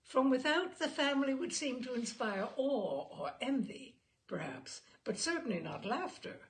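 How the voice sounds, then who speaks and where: A woman reading literary stories aloud as a storyteller, with expressive, theatrical phrasing. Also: high voice, moderate pace. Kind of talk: literary storytelling with informal introduction.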